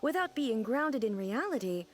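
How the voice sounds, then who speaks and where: A woman's voice: a little high, sing-song, moderate pace, faint music behind. A woman voicing a dignified female ruler in an anime video game, speaking formally, earnestly and literally. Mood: questioning, earnest. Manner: formal, composed, puzzled by fiction.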